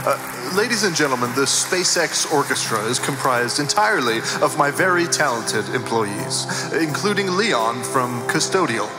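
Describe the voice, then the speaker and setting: An actor playing a smug, awkward billionaire rocket mogul in an audio cartoon, hesitant and full of fillers. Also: male voice, medium pitch, lively intonation, normal speed, hardly any pauses, roomy sound, over music, lightly acted, rough recording. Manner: hesitant, filler-heavy, self-satisfied.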